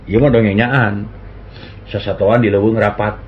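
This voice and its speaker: A man telling comic folk tales and jokes, doing quick voices for the characters in his stories. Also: lowish voice, fairly even intonation. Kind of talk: comic storytelling in a duo. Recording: dull lo-fi recording with faint hiss.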